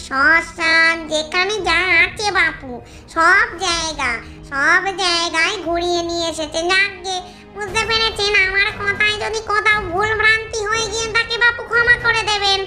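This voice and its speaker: A woman's voice: very high-pitched, steady tone, a little slow, sharp tone, soft background music, full character voice. A woman voicing a chatty, doting ghost mother-in-law who tells a cartoon story in a folksy, exclamatory way. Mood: emphatic, apologetic. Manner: folksy, exclamatory, addresses viewers directly. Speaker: storyteller and channel host.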